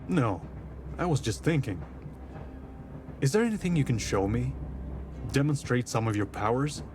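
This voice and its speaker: An actor playing a composed, skeptical detective in a visual novel, dry and questioning. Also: male voice, mid-range voice, big pitch swings, normal speed, natural voice. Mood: curious, skeptical. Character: skeptical, composed male detective of imperial Russia. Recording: voice over prominent background music.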